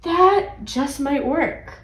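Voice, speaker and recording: Woman as brisk, kindly lady mayor. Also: sits high, animated, reverberant, full character voice. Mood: considering. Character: kindly, brisk female town mayor in storybook.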